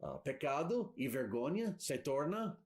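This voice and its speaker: A man's voice: fairly high pitch, very animated, measured pace, a little mellow. A man interpreting a sermon, hesitant and searching for words.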